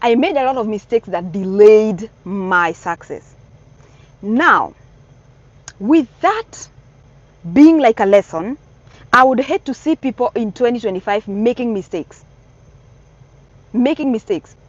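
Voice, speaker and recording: Kenyan woman vlogger, middle-aged, slightly high voice, emphatic and repetitive, dry dull-sounding recording. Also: lively intonation, measured pace, long pauses, no echo. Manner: earnest, repeats herself, emphatic warnings. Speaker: vlogger giving advice to newcomers. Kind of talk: casual advice vlog.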